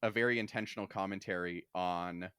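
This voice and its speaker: A man's voice: deep voice, fairly even intonation, brisk, pushed and bright, clean tone, dry recording, clean studio sound. A man co-hosting a podcast in casual pop-culture discussion, with a wry manner and casual swearing. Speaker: podcast co-host.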